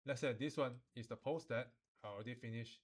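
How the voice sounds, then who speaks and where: A man giving an informal, colloquial walkthrough as a software tutorial instructor. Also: mid-range voice, fairly quick, slightly rough. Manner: colloquial, with sentence-final particles and Chinese-English code-switching. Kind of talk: informal screen-recorded software demo.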